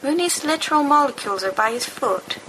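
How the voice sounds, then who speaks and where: Woman as high-pitched, rambling stoned teenage boys. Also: brisk. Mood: stoned excitement. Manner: slangy, rambling, excitable. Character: giggly, rambling group of stoned teenage schoolboys. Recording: noisy amateur home recording.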